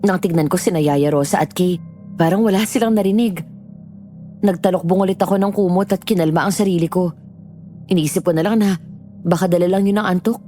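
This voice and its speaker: A woman's voice: fairly low pitch, a little fast, pauses often, warm tone, soft background music. A woman narrator reading a scripted first-person horror story.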